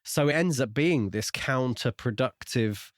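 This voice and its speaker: A man's voice: mid-range voice, unhurried, no room sound, studio quality. A man co-hosting a podcast, talking casually about a book in a questioning, wryly critical way. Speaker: podcast co-host.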